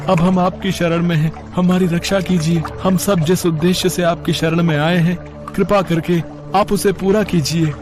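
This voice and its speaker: Man voicing a high-pitched, beseeching king of gods. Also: steady tone, normal speed, over music, close to an everyday voice. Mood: humble pleading. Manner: reverent, beseeching. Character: distressed, humble male king of the gods. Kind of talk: animated mythological story dialogue.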